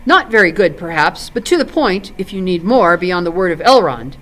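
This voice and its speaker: A woman's voice: on the low side, big pitch swings, normal speed, a bit bright, rough recording. A woman voicing a testy, impatient old male halfling who speaks with indignant emphasis in a storybook.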